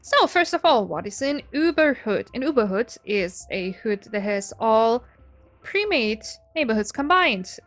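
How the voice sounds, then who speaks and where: A woman's voice: a little high, very animated, a little slow, a bit bright. A woman in her twenties with a German accent, casually explaining her game setup in a chatty, self-deprecating way, often breaking into a laugh. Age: 20s. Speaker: gaming video creator.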